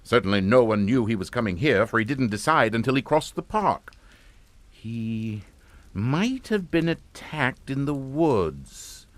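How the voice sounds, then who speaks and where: A man's voice: medium pitch, sing-song, unhurried, no room sound. An actor playing a stern, calculating English father in a radio suspense drama, with a clipped, commanding manner.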